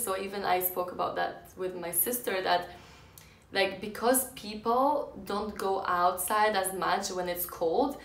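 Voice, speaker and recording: Young woman rambling to her cam, lots of "like" and restarts, roomy bedroom echo. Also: mid-range voice. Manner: rambling, many fillers and restarts. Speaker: vlogger. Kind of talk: casual chat to camera. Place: bedroom indoors. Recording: echoey room.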